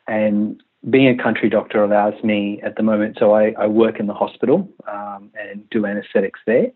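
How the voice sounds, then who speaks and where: A man's voice: lowish voice, steady tone, normal speed. Australian man on a tinny phone line. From Malaysia, raised in Western Australia. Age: 30s. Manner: hesitant, many restarts and fillers, laughs easily. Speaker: country doctor, interview guest. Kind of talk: casual interview answers.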